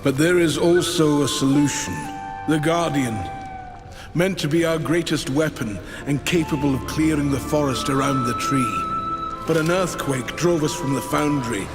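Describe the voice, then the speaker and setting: An actor playing a weary, solemn old mentor in a fantasy video game, with measured speech. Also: male voice, medium pitch, lightly acted. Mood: weary, resolute. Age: older. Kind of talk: video game character acting. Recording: long reverb, music behind.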